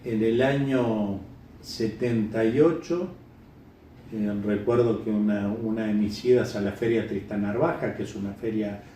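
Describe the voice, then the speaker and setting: A middle-aged Uruguayan man answering interview questions off the cuff, halting and repeating words as he thinks. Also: lowish voice, dark tone. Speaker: interviewee, bird breeder. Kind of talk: unscripted interview answers, reminiscing. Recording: echoey room, distant mic, faint noise.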